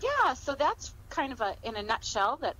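A woman's voice: high voice, sing-song, moderate pace, bright, forceful tone, slight background noise, poor audio. A middle-aged woman answering interview questions conversationally, in a reflective, encouraging manner. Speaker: author, podcast guest.

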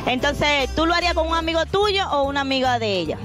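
A woman's voice: high-pitched, lively intonation, quick pace. A woman interviewing passers-by on a city street with a handheld mic, teasing and playful. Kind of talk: street vox-pop interview. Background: music bed.

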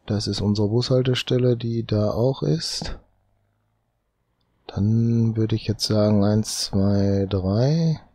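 A man with a cold, thinking aloud slowly as he comments on his own gameplay, often tagging lines with "ne?". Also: lowish voice, mellow. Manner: muttering, drawn-out, tags lines with "ne?". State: has a cold. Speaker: let's play host.

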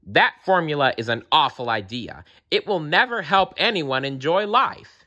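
A man's voice: fairly high pitch, big pitch swings, normal speed, pushed and bright, dull audio, pitched above the performer's own voice, exaggerated voice. A man voicing a grumpy but caring little blue bear in a children's story, grumbling and growling. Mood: grumpy, defiant.

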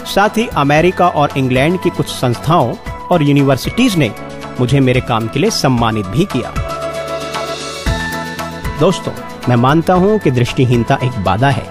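A man's voice: medium pitch, animated, moderate pace, long pauses, music in the background, close to an everyday voice. A man playing a dignified blind scientist who earnestly recounts his life in a radio narration. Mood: earnest, proud. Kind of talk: dramatized first-person radio narration.

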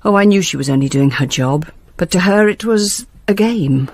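An actress playing a bitter, grieving grandmother, delivering a deliberate confessional letter as a radio drama monologue. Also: lowish voice, lively intonation, darkish tone, no echo. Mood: cold resolve.

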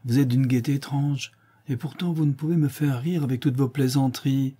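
A man's voice: mid-range voice, steady tone, normal speed, dark tone, breathy edge, voice pushed up, natural voice. A man voicing a worldly young countess in a read-aloud novel, coquettish and lightly mocking. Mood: teasing.

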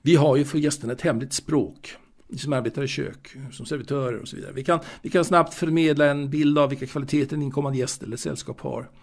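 Man acting a prim, creaky-voiced waiter. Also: medium pitch. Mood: prim, aggrieved. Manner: halting, repetitive, confiding, prim. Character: fastidious, proud male waiter and former seaman, reminiscing. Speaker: actor in a solo audio drama. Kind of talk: intimate dramatic monologue, told to a listener.

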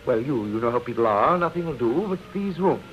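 A man's voice: medium pitch, lively intonation, a little fast, lightly acted. A man acting a fussy, apologetic hotel manager in a 1930s radio drama, formal and hesitant. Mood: awkwardly polite. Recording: muffled vintage radio, music behind.

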